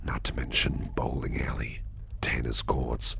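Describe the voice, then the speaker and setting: A man playing an attentive, softly flirtatious businessman boss in a whispered ASMR roleplay, reassuring and doting, with a breathy voice. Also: monotone, heavily acted. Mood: reassuring, soothing. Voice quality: breathy whisper, very low and gravelly. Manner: unhurried, reassuring, personal attention to listener.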